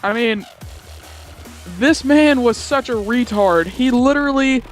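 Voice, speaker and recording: A man's voice: very high-pitched, unhurried, soft background music. A young man chatting casually as he tells a gaming story, giggly and rambling, often repeating himself. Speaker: gamer telling a story.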